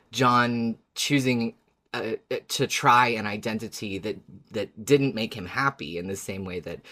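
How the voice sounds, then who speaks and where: Podcast host just chatting away, upbeat, mid-pitched voice, casual convo. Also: fairly bright tone.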